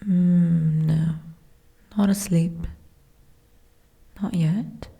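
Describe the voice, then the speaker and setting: Woman acting as bookish giantess princess, low-pitched, soft, slow, genteel, weary, fantasy ASMR roleplay. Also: steady tone, creaky, full character voice. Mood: drowsy, hushed. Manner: formal, genteel, old-fashioned diction.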